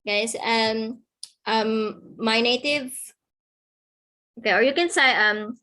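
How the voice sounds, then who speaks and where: A woman's voice: fairly high pitch, pushed and bright. A woman teaching an online lesson, speaking patiently and checking in with "okay?" after each point. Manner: slow, patient, repeats key words with "okay?".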